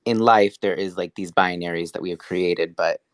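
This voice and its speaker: Young male guest, very deep voice, soft and halting delivery, dry close recording. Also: steady tone, clear, resonant tone, no room sound. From Texas. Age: young adult. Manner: soft-spoken, hesitant, with pauses. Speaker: guest artist. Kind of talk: casual conversation.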